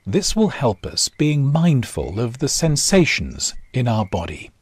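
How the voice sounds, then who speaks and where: A man guiding a body scan meditation in short, measured instructions with long pauses. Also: mid-range voice, sing-song, breathy edge, no echo. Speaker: meditation guide. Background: nature sounds.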